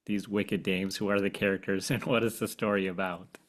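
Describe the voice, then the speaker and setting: A man's voice: deep voice, little pitch movement, fairly quick. A man hosting a podcast, interviewing a guest in a conversational style.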